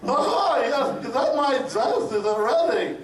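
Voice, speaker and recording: A man's voice: very high-pitched, lively intonation, slow pace, far from the mic, exaggerated voice. A man voicing a haughty, demanding young princess in a live comic dramatic reading. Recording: echoey convention room, off-mic.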